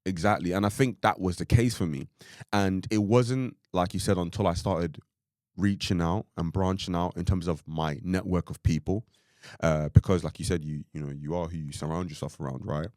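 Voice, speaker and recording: Deep-voiced young man, rambling casual chat. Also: fairly even intonation, moderate pace, darkish tone, dry recording, studio quality. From Ireland. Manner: rambling, full of "like" and "you know what I mean". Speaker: podcast co-host.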